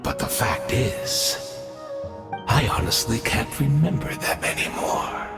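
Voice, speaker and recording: An echoing, gravelly voice of an ancient fallen knight in a dramatic video game. He speaks slowly and formally, breathy and wistful, with a quiet menace under his courtesy. Clear music plays behind him. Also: on the low side, sing-song, exaggerated voice. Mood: mournful, pleading. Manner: slow, halting, formal, measured. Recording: echoey cavernous reverb, music behind.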